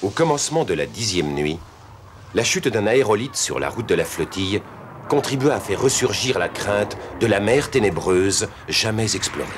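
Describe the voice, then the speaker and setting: A man playing a grave storyteller, delivering scripted narration of a sea voyage for an animated series. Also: on the low side, moderate pace, natural voice. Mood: solemn. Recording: clear noise behind voice.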